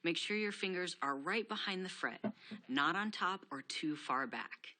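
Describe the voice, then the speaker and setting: A woman giving a scripted guitar lesson, explaining steps clearly and steadily. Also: on the low side, animated, moderate pace, bright, forceful tone. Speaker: guitar instructor.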